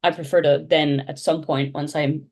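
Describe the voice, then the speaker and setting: A woman's voice: low-pitched, normal speed. A woman whose first language is French, talking casually and animatedly as a podcast guest about founding her shoe brand. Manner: animated, chatty, laughs often. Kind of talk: casual interview chat.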